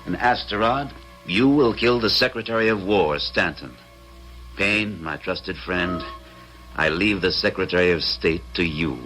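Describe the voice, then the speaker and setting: An actor in an old-time radio drama playing a scheming, arrogant stage star turned assassin, grandiose and theatrical. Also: male voice, low voice, a little slow, a bit bright, faint music behind, poor audio. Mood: conspiratorial, grandiose.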